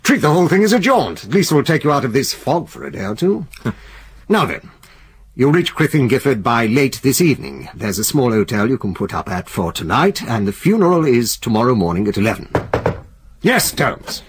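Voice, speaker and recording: An actor playing a jovial, brisk older head of a law firm, hearty and commanding in a radio drama. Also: male voice, mid-range voice, sing-song, breathy edge. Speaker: senior partner of a law firm.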